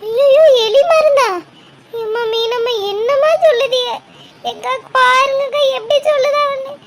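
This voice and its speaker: A woman plays an outspoken village woman in a cartoon drama. Her voice is piercingly high and loud, excitable and exclaiming, with a southern Tamil Nadu accent. Noise is clearly audible behind it. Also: normal speed. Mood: shocked, scolding. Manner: loud, exclaiming, scolding and questioning.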